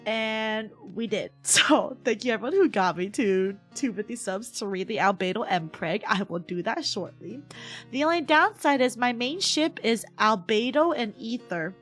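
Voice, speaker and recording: Woman, high voice, very animated intonation, playful bantering manner, casual livestream chat, light background music. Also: normal speed. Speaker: VTuber livestreamer.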